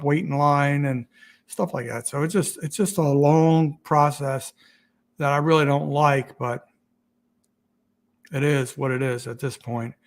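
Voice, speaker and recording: A man hosting a casual livestream, rambling and halting with many restarts, his voice getting raspy. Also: a little high. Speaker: YouTube channel host.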